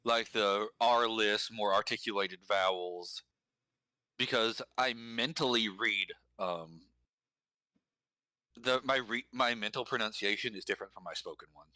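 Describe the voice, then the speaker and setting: A man's voice: lowish voice, measured pace, sharp tone, no room sound, poor audio. A man talking casually and articulately about pronunciation, with dry, wry humor. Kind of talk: casual conversation.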